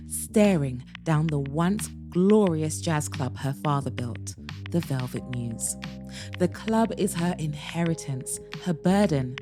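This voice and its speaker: A woman hosting a podcast and narrating an audiobook in a dramatic, expressive way, with slow emphatic pauses. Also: sits low.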